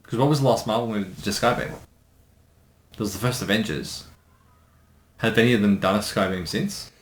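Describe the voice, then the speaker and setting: A man's voice: on the low side, fairly quick. Man chatting in an echoey room. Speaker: co-commentator and voice actor. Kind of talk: casual chat. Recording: echoey room with faint noise.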